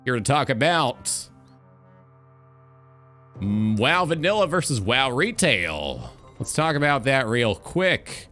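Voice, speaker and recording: A man's voice: mid-range voice, big pitch swings, takes it slowly, pauses often, sharp tone, faint music behind. A man hosting a gaming talk show, delivering an opinionated monologue in an emphatic, blunt, sweary way.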